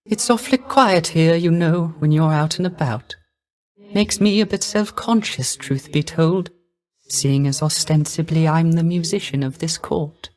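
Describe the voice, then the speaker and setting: A man acting as a young, melancholy court musician of the underworld for a video game. His voice is strikingly high and airy for a man. He speaks softly and hesitantly, with wistful, theatrical courtesy. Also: a little slow, close to an everyday voice. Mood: melancholy, resigned.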